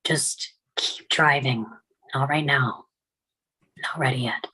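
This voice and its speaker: Woman acting low, husky, anxious middle-aged wife. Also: takes it slowly, bright, forceful tone, heavily acted, rough recording. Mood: tense, curt. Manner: terse, hesitant, subdued. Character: anxious, reluctant married woman in her fifties. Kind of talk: table read acting.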